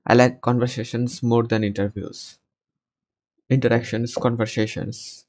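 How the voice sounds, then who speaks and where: A man in his twenties hosting a casual conversation podcast over a video call, talking from a small room and often pausing to find his words. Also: medium pitch, flat delivery, darkish tone. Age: 20s. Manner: hesitant, searching for words. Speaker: podcast host. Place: small home room, indoors. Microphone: headset.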